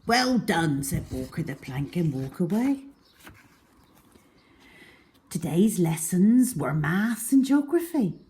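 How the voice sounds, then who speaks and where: A woman's voice: fairly low pitch, very animated, slow, long pauses, dark tone, slight background noise. A woman storyteller reading a children's diary-style story aloud with expression.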